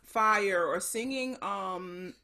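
A woman's voice: mid-range voice, extremely slow, creaky, bright, forceful tone. A middle-aged woman, an opera soprano, chatting on a video call from her living room, thoughtful and full of restarts. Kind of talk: casual chat on a video call.

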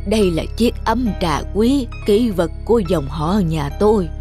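A woman voicing a poor, humble old man who is a tea connoisseur in a cartoon folktale, speaking in a slow, courteous, old-fashioned way. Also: medium pitch, expressive, dark tone, soft background music, close to an everyday voice. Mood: humble polite. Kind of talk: dubbed cartoon folktale acting.

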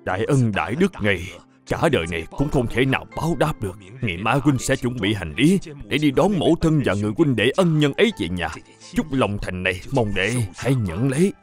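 A man voices an excitable middle-aged gentleman of an old Chinese household for a cartoon. His pitch swings widely as he hesitates, exclaims and effusively gives thanks, with music underneath. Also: natural voice. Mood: grateful, moved. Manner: effusive, halting, full of interjections. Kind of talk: animated historical cartoon dubbing.

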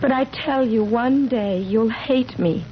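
A woman's voice: on the high side, slow. A woman acting in a 1970s radio drama as a young, fatalistic woman longing for love, earnest and melodramatic. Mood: anguished. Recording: dull, noisy vintage radio recording.

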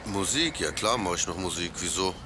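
A man's voice: deep voice, a little fast, background noise, natural voice. Man acting easygoing rapper dude, slangy, colloquial, slightly naive, audio drama dialogue. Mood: casual. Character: laid-back slangy male rapper, a bit naive.

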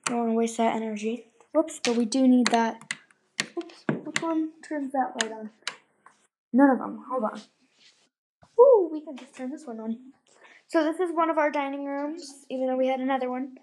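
A woman's voice: high-pitched, moderate pace, long pauses, a little mellow, a little hiss or hum, rough recording. A young girl filming a house tour on a handheld phone indoors at night, rambling and playful with sudden exclamations. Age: child. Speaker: vlogger. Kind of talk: house tour vlog, casual chat. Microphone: handheld phone camera.

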